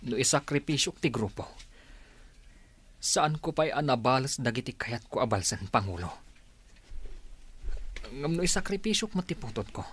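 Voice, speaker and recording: A man's voice: mid-range voice, animated, normal speed, long pauses, a bit bright, a little hiss or hum, close to an everyday voice. A man with an Ilocano accent playing a torn, reluctant husband and rebel in a radio drama. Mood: torn, obedient. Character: Edgar, torn rebel group member, reluctant husband and father. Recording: music under the voice. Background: music.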